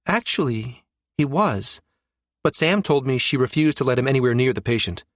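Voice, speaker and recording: A man's voice: mid-range voice, lively intonation, moderate pace, no echo, clean studio sound, natural voice. A man voicing a skeptical, wry professional woman who muses emphatically, in an audiobook. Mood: puzzled, probing. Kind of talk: audiobook character dialogue.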